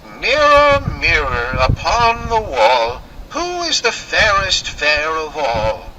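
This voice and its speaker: A man voicing a wicked, envious storybook queen with gloating, drawn-out theatrical delivery. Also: a little high, wildly swooping pitch, a crowd in the background, heavily acted, rough recording.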